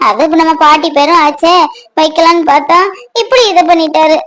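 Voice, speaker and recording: A woman's voice: high-pitched, flat delivery, fairly quick, fairly bright tone. An actress in her twenties playing a young mother who complains with irritation in a family drama. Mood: complaining. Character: annoyed young mother, new baby. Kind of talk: web serial drama acting.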